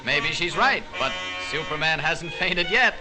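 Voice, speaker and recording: Polite mild reporter, old 40s cartoon, highish voice, music behind. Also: male voice, animated, a little slow. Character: mild, polite young male newspaper reporter. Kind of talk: 1940s cartoon acting. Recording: vintage cartoon soundtrack with music.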